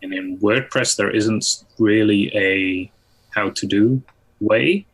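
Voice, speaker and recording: A man's voice: on the low side, takes it slowly, breathy edge, rough recording. A man in a casual technical discussion on a group call, stating his opinions in a matter-of-fact way. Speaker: web software expert on a livestream.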